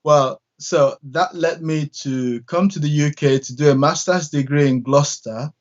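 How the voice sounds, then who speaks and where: Nigerian-born minister, measured and unhurried. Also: male voice, a little high, fairly even intonation, muffled recording. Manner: measured, many pauses and fillers. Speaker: church minister. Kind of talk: interview guest telling his story.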